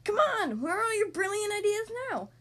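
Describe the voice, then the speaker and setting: A woman voicing a bossy, quick-tempered cat pirate king in a children's story, barking impatient orders loudly. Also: on the high side, lively intonation, lightly acted. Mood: roaring, impatient.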